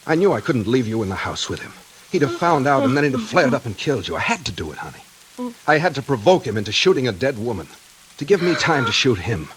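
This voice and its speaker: A man's voice: medium pitch, sing-song, fast talker, fairly bright tone, husky, exaggerated voice, poor audio. A man playing an earnest, decisive doctor and protective sweetheart in 1940s radio acting, speaking with emphatic urgency. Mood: earnest, insistent. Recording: hissy 1940s radio recording, rain behind.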